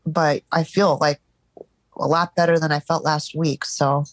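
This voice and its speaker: A woman in a live therapy session over a call, speaking haltingly and searching for words, stuffed up from crying earlier. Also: low voice, flat delivery, dry recording. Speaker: therapy client.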